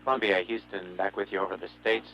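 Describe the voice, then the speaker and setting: A man's voice: lowish voice, fairly even intonation, fast, a little hiss or hum. A man as ground control communicator, speaking briefly and procedurally to a spacecraft crew. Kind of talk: radio exchange with spacecraft. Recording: dull radio transmission.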